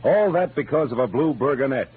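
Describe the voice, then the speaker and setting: An actor playing a wry, world-weary American private detective in 1940s radio noir, with sardonic, slangy narration. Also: male voice, medium pitch, expressive, brisk, lightly acted. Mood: grim, teasing. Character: wry, hard-boiled male private detective, narrating his cases. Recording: muffled vintage radio recording, music behind.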